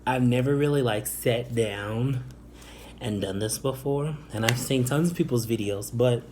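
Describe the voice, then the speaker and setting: A young man from the Chicago area telling a personal story to camera, soft-spoken and hesitant, with many ums and restarts. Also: mid-range voice, a little slow, slight background noise. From Northwest Indiana, Chicago area. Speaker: vlogger.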